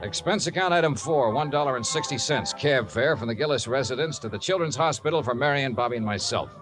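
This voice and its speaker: An actor playing a kindly, wry investigator in a 1950s radio drama, coaxing gently and narrating in an understated way. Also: male voice, medium pitch, lively intonation, fast, over music, lightly acted. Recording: vintage 1950s radio recording.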